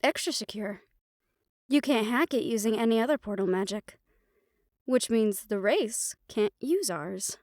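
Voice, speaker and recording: A confident, scheming female magic researcher from a fantasy audio roleplay, acted by a woman. Her voice sits a little high. The recording is very dry, with next to no room sound. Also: expressive, a little slow, a little mellow, no room sound. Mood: confident, brisk.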